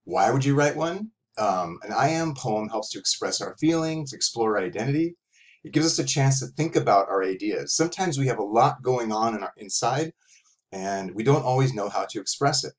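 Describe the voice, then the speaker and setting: A man's voice: medium pitch, animated, moderate pace. Middle-aged man teaching casually. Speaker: author and professor teaching a writing exercise. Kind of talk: casual instructional talk to young writers. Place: small room at home, indoors.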